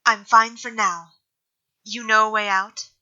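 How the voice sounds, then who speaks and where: Woman playing a sharp, bright-voiced concerned elf. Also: fairly high pitch, little pitch movement, measured pace, highs cut off. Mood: steady. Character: quiet, concerned female elf. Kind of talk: audio drama acting.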